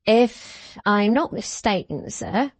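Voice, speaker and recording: A woman's voice: mid-range voice, very animated, slow pace, heavily acted. A woman voicing an obsequious, self-satisfied older man who keeps calling his listener "sir" with false modesty. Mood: fawning. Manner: fawning and deferential, clipped "sir" endings.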